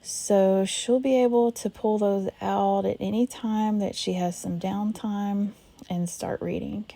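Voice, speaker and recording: A woman with a hoarse voice calmly narrating a life-simulation game as host of a let's play. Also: medium pitch, measured pace. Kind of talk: gameplay narration.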